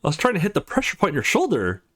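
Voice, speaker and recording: A man's voice: fairly high pitch, wildly swooping pitch, racing, fairly bright tone, husky, pitched above the performer's own voice, heavily acted. A man voicing a scrappy, teasing young woman gamer in a visual novel, taunting and combative. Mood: flustered. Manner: taunting, feisty.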